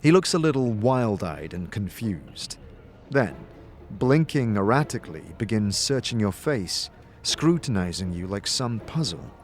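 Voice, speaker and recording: Male storyteller, low register, atmospheric fantasy narration, measured delivery over faint music. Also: very animated. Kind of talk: scripted second-person fantasy narration.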